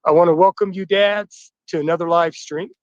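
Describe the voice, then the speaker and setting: A man in his fifties greets fathers on a livestream, with a stuffy, blocked-up nose from a bout of flu. His voice sits fairly high for a man, and his welcoming, upbeat delivery comes through dull, dry, lo-fi stream audio. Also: fairly even intonation, moderate pace, no room sound. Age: 50s. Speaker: host of a livestream. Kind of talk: livestream address to viewers.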